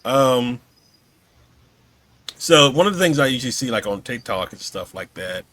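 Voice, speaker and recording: Male podcast host, mid-range voice with lively swings in intonation, informal, slightly halting questions. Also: medium pitch. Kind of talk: casual interview chat.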